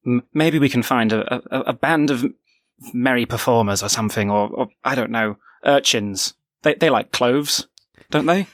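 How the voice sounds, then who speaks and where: A man's voice: medium pitch, a little slow, a bit bright, no echo, natural voice. A man voicing a fussy, theatrical, fashion-obsessed thief, affected and given to sighs, in improvised tabletop role-play. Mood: fussy, wounded.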